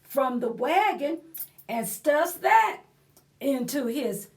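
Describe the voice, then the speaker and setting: Slow, high-pitched woman reading to children. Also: sing-song. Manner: explains words and prompts young listeners. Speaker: library storytime reader. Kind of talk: children's picture book read-aloud with asides.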